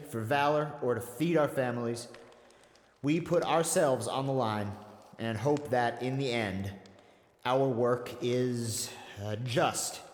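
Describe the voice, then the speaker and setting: An honorable army commander, acted by a man in a fantasy radio play. He speaks to troops with sincere, halting oratory at a middle pitch. The voice echoes with long reverb. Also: lightly acted. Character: honorable, warm-hearted army general, man. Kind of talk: audio drama speech to troops. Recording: roomy, long echo.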